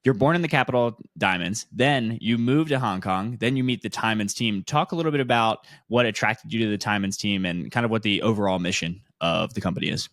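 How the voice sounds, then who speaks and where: Podcast host guy, kinda low voice, dry close sound, upbeat questions. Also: a little fast, hardly any pauses, a bit bright, no room sound. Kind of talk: podcast interview hosting.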